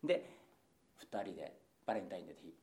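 A man chats casually as a radio co-host. His voice has a lively, swinging pitch and a brisk pace. He tells stories on himself in a conversational, lightly comic way. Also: slight background noise. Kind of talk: casual comic anecdote telling.

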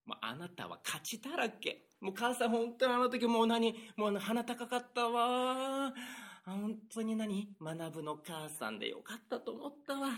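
A man's voice: very high-pitched, sing-song, normal speed, fairly bright tone, heavily acted. A man putting on a doting middle-aged mother in an improvised radio drama, soothing and gushing over her son. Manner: soothing, gushing, pep-talking.

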